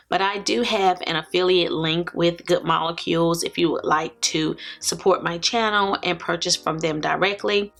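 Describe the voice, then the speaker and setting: A woman in her forties, hoarse from allergies, chatting casually as a beauty vlogger. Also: on the low side, fairly bright tone. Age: 40s. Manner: chatty, laughs often. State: raspy from allergies. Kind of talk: casual haul vlog.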